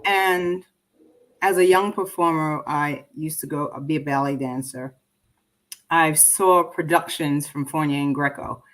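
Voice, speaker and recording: A woman's voice: deep voice, steady tone, a little slow, fairly bright tone, poor audio. Reflective woman in conversation. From Trinidad and Tobago. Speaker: interview guest, poet and filmmaker. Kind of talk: conversational interview answers.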